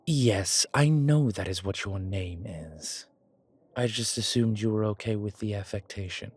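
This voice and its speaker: A man plays an ancient, courteous vampire with a deep voice. He speaks slowly and genteelly, pausing as he picks his formal, old-fashioned words. The delivery is intimate and affectionate, as in an audio roleplay addressed to one listener. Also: a little mellow, breathy edge. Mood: tender, courteous. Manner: genteel, measured, hesitant pauses, old-fashioned formal wording.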